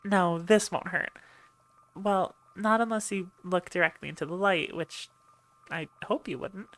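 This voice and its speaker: Woman playing brusque, low-voiced sarcastic ship medic. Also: a little slow, a bit bright. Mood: businesslike. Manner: impatient, dry, sarcastic, sweary. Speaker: voice actor in audio roleplay. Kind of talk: ASMR roleplay acting, speaking to listener.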